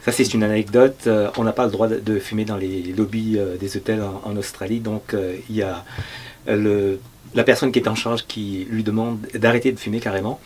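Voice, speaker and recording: A man's voice: on the low side, steady tone, fairly quick, a little mellow, a little hiss or hum. A man from Mauritius who works as a radio journalist, casually telling an anecdote about an interview.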